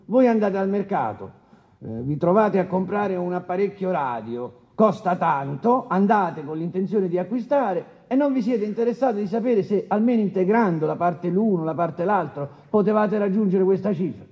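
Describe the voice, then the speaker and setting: A man's voice: high voice, lively intonation, moderate pace, muffled recording. An actor playing a brusque male presiding judge in a radio courtroom drama, formally and insistently questioning a defendant. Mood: pressing. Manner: insistent, interrupting, official.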